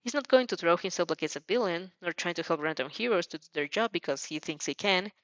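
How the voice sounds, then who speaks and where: A woman reading a story aloud as an expressive amateur narrator, sometimes stumbling and rereading phrases. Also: fairly low pitch, fast talker, no echo, dull audio. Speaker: fan fiction narrator.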